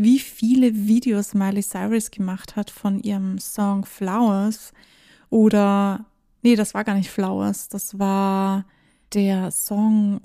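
A woman's voice: medium pitch, mellow. A woman hosting her own podcast in casual solo talk, chatty, dropping in English phrases and laughing now and then. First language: German. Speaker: podcast host.

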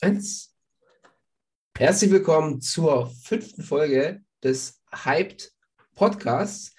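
A man with a fairly high voice and a cold hosts a sports performance podcast. He asks his guest questions with hesitant fillers between them. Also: animated, very slow, a little mellow. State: has a cold. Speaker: podcast host. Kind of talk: podcast interview.

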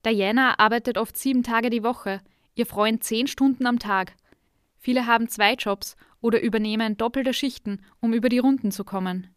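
A woman's voice: fairly high pitch, steady tone, normal speed, clean tone, dry recording. Woman narrating a podcast, dry studio. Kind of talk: scripted narration.

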